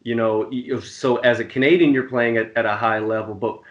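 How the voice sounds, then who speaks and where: A man's voice: medium pitch, steady tone, brisk. A man with a mid-range voice hosts a podcast interview and reacts eagerly with short "wow"s and "mm-hmm"s. He sometimes repeats words while he searches for what to say. His audio sounds muffled and lo-fi. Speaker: podcast host.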